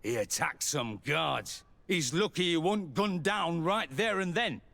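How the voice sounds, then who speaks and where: A man's voice: fairly high pitch, lively intonation, unhurried, gravelly, sharp tone, exaggerated voice. An actor playing a dismissive, defensive male official in a fantasy game, speaking curtly. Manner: curt, dismissive. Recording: echoing hall reverb.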